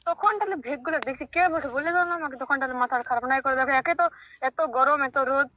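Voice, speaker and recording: A woman's voice: high voice, racing, pushed and bright, dry recording. A young woman with a rural western Bengali accent from Purulia, arguing on a casual phone call with blunt, dismissive retorts. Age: young adult. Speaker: prank call recipient.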